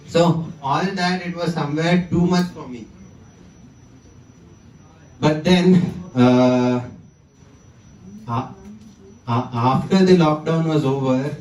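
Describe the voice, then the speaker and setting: A man's voice: on the high side, dark tone, reverberant, far from the mic, slight background noise, rough recording. Young man who stammers. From South India. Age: 20s. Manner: stammers, with repeated words and many fillers. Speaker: conference speaker. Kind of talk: personal talk to an audience. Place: conference hall.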